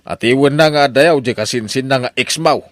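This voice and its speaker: A man's voice: medium pitch, moderate pace, a bit bright, no room sound, natural voice. An actor playing a male relative who gives cautious advice in an Ilocano comedy radio drama, expressively delivered. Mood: advising.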